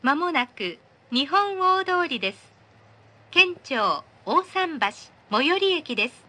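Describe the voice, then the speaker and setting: A woman's voice: high voice, very animated, slow, pushed and bright. A woman reading a scripted train announcement in a polite, formal, carefully enunciated manner.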